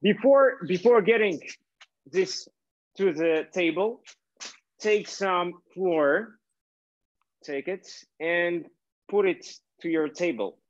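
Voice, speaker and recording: A young man from Kazakhstan hosts a casual cooking and dance chat from his home kitchen on a video call. He talks haltingly, with many fillers and restarts. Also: sits high. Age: young adult. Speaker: host. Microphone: laptop webcam on a video call. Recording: muffled video call audio.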